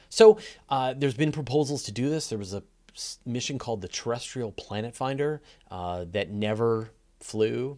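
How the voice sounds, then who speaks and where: A man's voice: fairly low pitch, darkish tone. A man hosting a live question-and-answer show, explaining things in an informal, emphatic way and repeating phrases for stress. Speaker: host of a live astronomy Q&A show.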